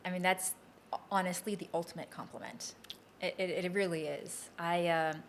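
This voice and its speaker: Lower-pitched woman, reflective, with background noise. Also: steady tone, normal speed, gravelly. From Taiwan and Hong Kong. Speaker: podcast guest, entrepreneur. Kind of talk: casual reflective interview talk.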